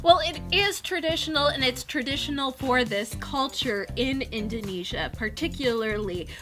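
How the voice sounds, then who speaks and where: A woman co-hosting a casual commentary show, explaining things in an expressive, conversational way. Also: high voice, normal speed, over music. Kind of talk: casual photo commentary chat.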